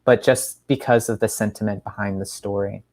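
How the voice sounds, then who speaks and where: A man's voice: fairly low pitch, steady tone, moderate pace, a little mellow, no room sound, clean studio sound. A man co-hosting a podcast, speaking in casual conversation. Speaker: podcast co-host. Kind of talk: casual chat.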